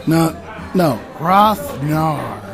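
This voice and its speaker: An actor playing a blunt, sarcastic barbarian adventurer with a casual manner in a comic fantasy audio drama. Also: male voice, fairly high pitch, animated, drawn-out words, vocal fry, exaggerated voice. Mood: wry. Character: gruff but civilized, wisecracking male barbarian adventurer. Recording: music playing behind the voice.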